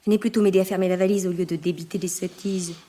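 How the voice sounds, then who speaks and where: An actress playing a teasing, sensible young second wife in a French radio play. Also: female voice, lowish voice, fairly even intonation, fast talker, warm tone, slight background noise, close to an everyday voice. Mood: teasing, evasive.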